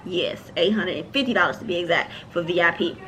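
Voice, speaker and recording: Bright-voiced chatty woman telling a story. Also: fairly low pitch, brisk. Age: late 20s. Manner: chatty, expressive, talks straight to her viewers. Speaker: podcast host. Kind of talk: casual storytime chat.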